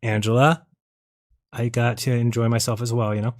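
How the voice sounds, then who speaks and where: A man's voice: medium pitch, little pitch movement, normal speed, a little mellow. A man hosting a live online show in a casual, rambling and blunt way, thinking aloud as he goes. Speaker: host of a live online debate and call-in show. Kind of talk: casual, rambling livestream chat, sometimes reading viewer comments.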